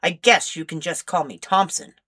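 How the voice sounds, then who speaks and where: A woman's voice: fairly low pitch, moderate pace, a bit bright. A woman voicing a stern, grumpy older male agent with stiff, formal speech in a story reading. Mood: stiff, irritated.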